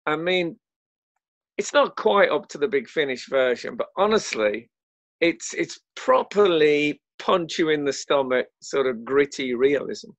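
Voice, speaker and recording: A man's voice: a little high, lively intonation, measured pace, no room sound. A man, an actor chatting in a casual interview in a wry, hesitant way. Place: home, remote lockdown recording.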